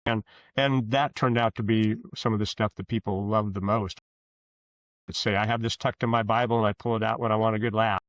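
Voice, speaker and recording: Older man, lowish pitch, dull lo-fi sound, casual guest chat, rambling, good-humoured. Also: steady tone, a little fast, no room sound. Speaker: fiction author, podcast guest.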